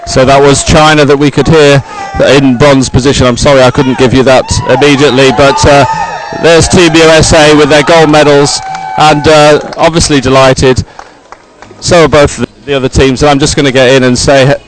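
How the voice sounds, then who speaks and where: A man presenting a live sports broadcast, ad-libbing commentary in a halting, hesitant way. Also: mid-range voice, flat delivery, some chatter behind, low-quality recording. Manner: hesitant, halting ad-libbed delivery. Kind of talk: live unscripted event commentary.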